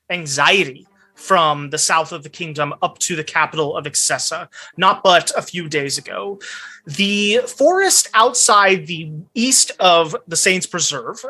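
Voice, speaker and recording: A man narrating a tabletop fantasy game as game master, with deliberate, dramatic phrasing. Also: fairly high pitch, pushed and bright. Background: background music.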